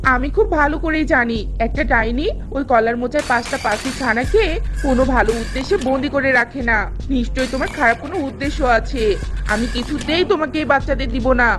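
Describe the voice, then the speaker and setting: A woman voicing a gentle little mother bird in a fairy-tale cartoon, earnest and exclamatory. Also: high voice, a little fast, over music, close to an everyday voice. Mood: frightened, defiant. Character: gentle motherly little tailorbird, anxious but brave.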